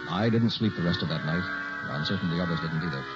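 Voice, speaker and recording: Actor as deep-voiced suspense narrator Dick, muffled recording. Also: male voice, over loud music, close to an everyday voice. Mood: tense recounting. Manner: suspenseful, deliberate storytelling. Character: Dick, young man narrating his eerie weekend. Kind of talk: 1960s radio drama narration. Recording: muffled old radio recording.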